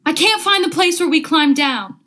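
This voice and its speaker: A woman's voice: high-pitched, normal speed, pushed and bright. A young woman acting an uneasy, grumbling male accomplice in vintage radio drama style, urgent and slangy. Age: young adult. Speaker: student actor.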